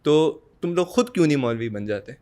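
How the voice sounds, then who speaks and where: A man's voice: a little high, animated, fairly quick, darkish tone. A young man with a Pakistani accent telling a personal story as a podcast guest, informal and slangy, with English words mixed in. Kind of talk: casual anecdotal storytelling.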